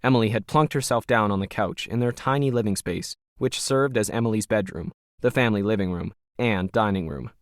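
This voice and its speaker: A man's voice: fairly low pitch, a little fast, few pauses, no room sound, clean studio sound. A man reading scripted fiction as a narrator.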